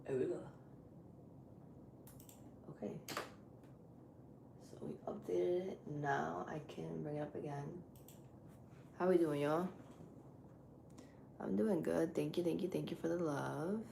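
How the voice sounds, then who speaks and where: A woman live streamer with a deep voice, chatting casually with her viewers while she sets up a game. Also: slow pace, vocal fry, pauses often. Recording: echoey room with loud background noise.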